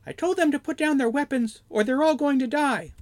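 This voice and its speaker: A squeaky whining goblin. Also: male voice, fairly quick, no room sound. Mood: whiny. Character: whiny high-pitched male goblin.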